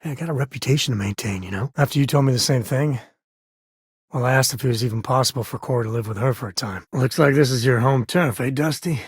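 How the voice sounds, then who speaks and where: A male actor plays a middle-aged space cowboy and protective father for a video game. His voice is heavily husky and sits at an ordinary male pitch. He talks in a folksy, wry, informal way, with a dry studio sound and no room around it. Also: fast, no room sound, natural voice. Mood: casual. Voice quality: husky, raspy. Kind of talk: video game character voice lines.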